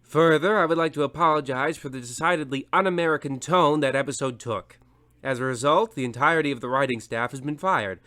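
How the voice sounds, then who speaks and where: Man doing a pompous radio narrator, self-important, formal, slightly high voice. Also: brisk, sharp tone. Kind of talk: scripted radio sign-off.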